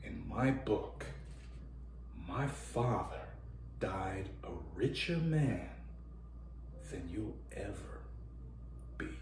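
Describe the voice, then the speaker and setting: A man's voice: on the low side, lively intonation, drawn-out words, long pauses, slight background noise, full character voice. A man acting an earnest, indignant man in an emphatic, dramatic radio play monologue. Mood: quiet, bitter. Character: earnest, indignant man defending his father. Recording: echoey room.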